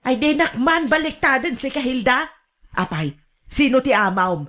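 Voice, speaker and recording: Woman acting querulous older mother, high-voiced. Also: animated, moderate pace, fairly bright tone. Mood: resentful grumbling. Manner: querulous, defensive. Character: aggrieved, quarrelsome gambling mother who blames her relatives. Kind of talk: radio drama acting.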